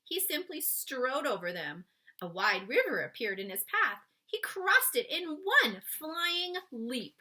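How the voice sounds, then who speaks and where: A woman reading a children's story aloud in an expressive, suspenseful way, stretching key words for emphasis and chatting to young listeners. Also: sits high, measured pace. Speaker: children's book read-aloud reader.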